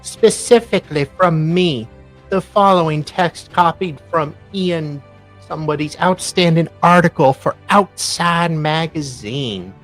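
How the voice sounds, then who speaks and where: A woman co-hosting a livestream, reading messages aloud in a halting, deliberate way with casual reactions. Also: deep voice. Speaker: livestream co-host. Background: music.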